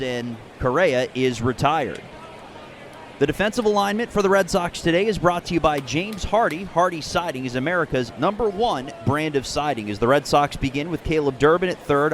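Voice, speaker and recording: Clear ambient noise sits behind a man doing live baseball radio play-by-play. His voice is medium in pitch, and he speaks in measured, neatly phrased broadcast style, moving between game commentary and sponsor reads.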